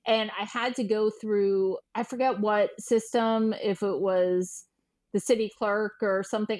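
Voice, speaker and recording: An American woman chatting informally as an interview guest, animated and peppering her talk with "like" and quoted dialogue. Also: mid-range voice. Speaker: podcast guest, journalist and historian. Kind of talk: casual interview chat.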